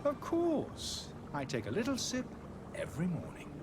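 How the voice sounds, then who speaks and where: A man playing a pompous, theatrical charlatan in a video game, selling potions with smooth, grandiose showman's patter. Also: high-pitched, wildly swooping pitch, normal speed, background noise. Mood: smooth, courteous.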